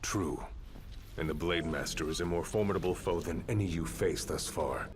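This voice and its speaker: An actor playing a seasoned middle-aged warrior who speaks calmly and drily. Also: male voice, low-pitched, normal speed, natural voice. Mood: wry. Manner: dry, calm and reflective.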